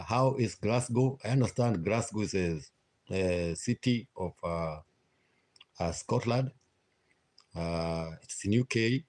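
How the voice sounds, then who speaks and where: Hesitant Kenyan man, low voice. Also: a little mellow. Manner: hesitant, frequent "uh" fillers, repeats himself. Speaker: live streamer. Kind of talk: casual live stream chat with viewers.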